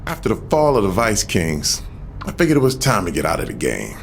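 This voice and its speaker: An actor playing a shrewd American former gang boss, self-assured and streetwise, reminiscing in a scripted game audio log. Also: male voice, on the low side, wildly swooping pitch, a little fast, gravelly, slight background noise, heavily acted. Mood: wry, reflective. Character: shrewd, patient male ex-gang boss turned author.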